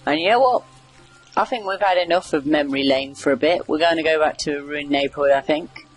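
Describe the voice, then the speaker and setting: Male let's-play commentator, slightly high husky voice, wry off-the-cuff chatter over soft background music. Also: fairly quick, rough recording. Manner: wry, chatty, reacting aloud to the game. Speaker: gamer doing a video game playthrough. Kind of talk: casual rambling game commentary.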